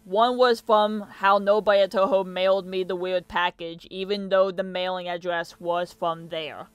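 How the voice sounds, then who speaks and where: A man's voice: sits high, level tone, moderate pace, clear, resonant tone, no echo. A young man giving casual reaction commentary and reading captions aloud, with muttered asides, on a close mic in a small room. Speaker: reaction video host.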